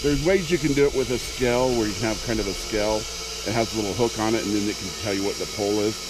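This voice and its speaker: A man's voice: lowish voice, rapid-fire, noisy background. A man explaining a hands-on technical job in casual conversation, as an instructor. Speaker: balloon launch instructor.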